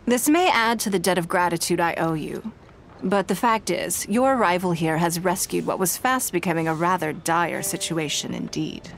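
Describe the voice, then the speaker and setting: An actress playing a poised, wealthy noblewoman in a game, speaking in a measured, formal and gracious way. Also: fairly low pitch, expressive, gravelly, a little hiss or hum. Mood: composed. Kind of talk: game voice acting.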